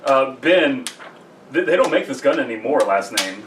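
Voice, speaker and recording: A man co-hosting a podcast, telling rambling casual anecdotes and repeating himself haltingly. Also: medium pitch, lively intonation, far from the mic. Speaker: podcast co-host. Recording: slightly off-mic with faint noise.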